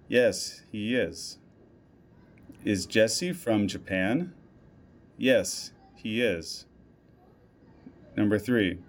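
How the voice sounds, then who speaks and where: A man reading out a school listening test, saying each word and line slowly and deliberately, with every item given twice. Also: on the low side, a little mellow. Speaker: test reader.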